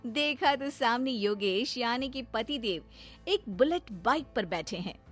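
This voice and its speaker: A woman's voice: a little high, animated, measured pace, a bit bright, slightly rough, faint music behind. A woman narrating a scripted moral story as a storyteller.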